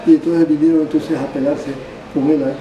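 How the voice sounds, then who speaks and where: An older man from Panama, recounting his life as a barber in a rambling, halting way, restarting phrases and adding tag questions. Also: fairly high pitch, level tone, highs cut off, crowd noise. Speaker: barber being interviewed. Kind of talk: rambling oral history. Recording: roomy, distant mic, background ambience.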